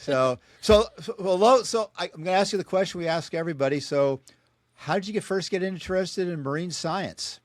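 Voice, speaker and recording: A man's voice: sits high, brisk, no room sound. Dry-sounding podcast host, a man. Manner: friendly, chuckles at his own asides. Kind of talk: reading a guest introduction, then casual interview.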